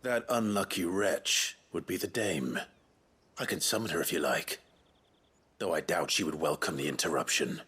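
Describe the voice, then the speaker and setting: A man playing a brooding, defiant British warrior in a video game, grave, measured and quietly menacing. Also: deep voice, slightly rough, exaggerated voice. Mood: cold, defiant.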